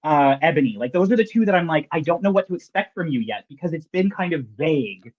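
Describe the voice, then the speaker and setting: A man's voice: a little high, lively intonation, fast talker, lo-fi sound. A man hosting a podcast, chatting casually with a dry, self-deprecating wit. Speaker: podcast host.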